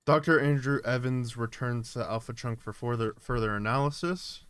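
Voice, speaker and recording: A man's voice: mid-range voice, moderate pace, fairly bright tone, smooth, dry recording. A man doing casual reaction commentary as a streamer, thinking aloud and reading text off the screen. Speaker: streamer reacting to an analog horror series.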